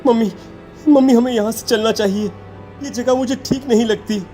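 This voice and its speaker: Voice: medium pitch, fairly even intonation, normal speed, mellow, faint music behind, natural voice. A performer voicing a timid teenage schoolboy in a horror story, fearful and hesitant as he speaks. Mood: scared.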